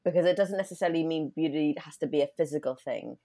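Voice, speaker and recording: Low-voiced laughing woman host. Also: quick pace, mellow. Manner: chatty, laughs a lot. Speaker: podcast host. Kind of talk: casual interview hosting.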